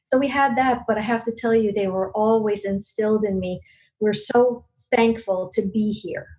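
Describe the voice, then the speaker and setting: A woman's voice: medium pitch, steady tone, normal speed, a little mellow, poor audio. A woman from Long Island, a guest in a podcast interview, telling stories earnestly with many fillers and restarts. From Long Island, New York. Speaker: guest, regional business network leader.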